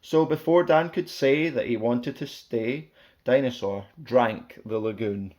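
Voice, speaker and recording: A man's voice: medium pitch, a little slow, darkish tone. A man reading a rhyming children's picture book aloud as a storyteller, in a playful, theatrical, sing-song way.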